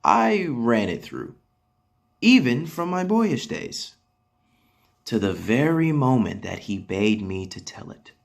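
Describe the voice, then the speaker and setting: Guy doing a proud shakespeare soldier telling his story, really slow, big dramatic pauses, earnest. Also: mid-range voice, very animated, creaky, pitched above the performer's own voice, heavily acted. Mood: proud, storytelling. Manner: measured, declamatory, dramatic pauses. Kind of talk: stage Shakespeare monologue.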